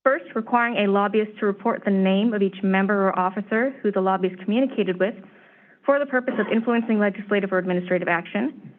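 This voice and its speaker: A woman staff presenter giving a formal, measured briefing on proposed legislation, her voice slightly hoarse. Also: medium pitch. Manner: steady, measured, reads prepared remarks. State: slightly hoarse, clearing throat. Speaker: staff presenter at a commission meeting. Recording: tinny phone-line audio.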